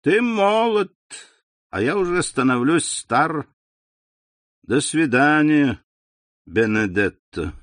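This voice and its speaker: A man reading an audiobook, voicing a scheming aging male innkeeper with a mocking, drawn-out manner. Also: on the high side, lively intonation, a bit bright, dry recording, studio quality, pitched above the performer's own voice. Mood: teasing.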